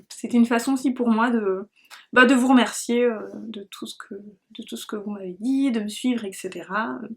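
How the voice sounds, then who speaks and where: A woman with a fairly high voice talks casually to her viewers as a podcast host. Her delivery is loose and scattered, with a self-deprecating streak, as she searches for where she was going. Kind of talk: casual rambling vlog talk to viewers.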